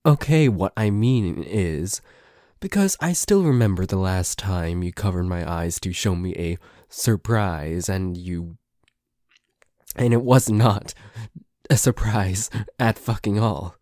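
A grumpy, suspicious tsundere boyfriend, acted by a man for an ASMR roleplay. His voice is fairly low and he talks slowly, with hesitant stammers and curses. The sound is close and dry. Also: animated, darkish tone, no echo. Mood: wary, sarcastic. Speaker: amateur voice actor.

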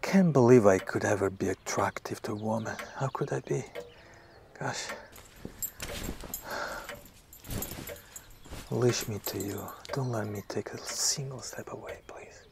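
An actor playing a weak-willed, lovestruck writer in an audio drama, earnest, halting and pleading. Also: male voice, fairly low pitch, vocal fry, noisy background. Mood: self-loathing. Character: weak-willed, lovestruck male writer begging his mistress.

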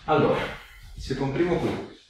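A man's voice: mid-range voice, normal speed. A man, giving hands-on instructions and asking questions as a chiropractor. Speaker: chiropractor treating a patient. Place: small treatment room, indoors. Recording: very echoey room, distant mic, faint noise.

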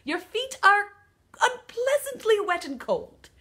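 A woman voicing a cautious, fussy kangaroo in a children's poem, with a put-on Australian accent and deliberate delivery. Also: extremely high pitch, big pitch swings, sharp tone, pitched above the performer's own voice, exaggerated voice. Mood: doubtful, fussy.

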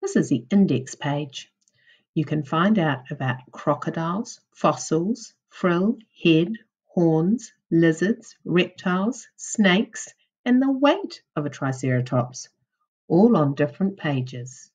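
A woman teacher reading a children's non-fiction book aloud in a deliberate, instructional way for young children. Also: low-pitched, lively intonation, a little mellow, breathy edge. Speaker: primary school teacher.

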